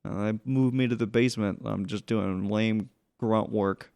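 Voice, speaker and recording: Male podcast co-host, smooth clear mid-range voice, casual and grumbling, halting delivery, very dry sound. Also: fairly low pitch, fairly even intonation, a little mellow, dry recording. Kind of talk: casual chat.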